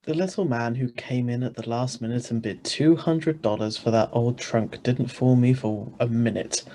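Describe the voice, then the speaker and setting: Radio drama husband, played by a man, mid-range pitch, wry, sardonic, exasperated, skeptical narrator. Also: steady tone, moderate pace, dark tone, slight background noise, close to an everyday voice. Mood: wry narration. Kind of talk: radio drama script reading. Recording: live video-call recording.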